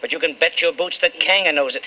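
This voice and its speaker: An actor playing an eager, boastful Southern politician husband in a folksy, coaxing way, in an old-time radio drama. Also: male voice, on the high side, quick pace, natural voice. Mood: boastful, then tender. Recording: muffled, noisy old radio recording.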